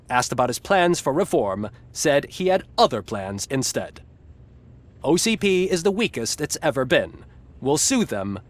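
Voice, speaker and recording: Lively, higher-voiced glib male news anchor. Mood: glib, upbeat. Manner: polished, upbeat, smugly ironic. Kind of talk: scripted satirical newscast narration.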